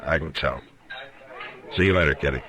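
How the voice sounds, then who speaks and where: An actor with an American accent playing a stern, steady western marshal in old-time radio style, terse and commanding. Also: male voice, a bass voice, normal speed. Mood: calm, watchful. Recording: noisy vintage radio drama recording.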